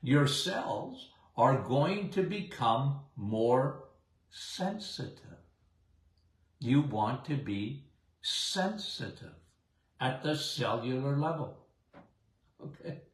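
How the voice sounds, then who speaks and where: Slow chatty man with a big voice. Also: mid-range voice, very animated. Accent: Canadian. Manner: chatty, rambling, says "okay?" and "guys" often. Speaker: health show host. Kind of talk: casual live health talk. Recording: roomy echo.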